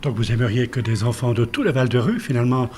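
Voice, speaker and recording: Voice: mid-range voice, fast talker, a little mellow, slight background noise. TV interviewer. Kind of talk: interview questions.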